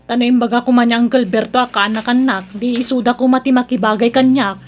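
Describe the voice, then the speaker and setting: A woman as a high-voiced, whiny young Filipina niece. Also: fairly even intonation, fast, lightly acted. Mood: haughty. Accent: Filipino, Ilocano. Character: proud young niece Mira, reluctant new restaurant dishwasher, complaining daughter. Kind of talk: Ilocano audio drama acting.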